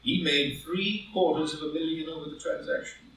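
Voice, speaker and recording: A man playing a proud, ambitious wealthy gentleman in a stage drama reading, speaking in an impassioned, grandiloquent and emphatic way. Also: fairly high pitch, steady tone, moderate pace, vocal fry, a little hiss or hum. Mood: indignant, defiant. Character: proud, ambitious wealthy gentleman defending his guilty secret. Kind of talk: live group play reading, stage drama. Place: indoor room, live in-person group reading. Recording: roomy live recording, distant from mic.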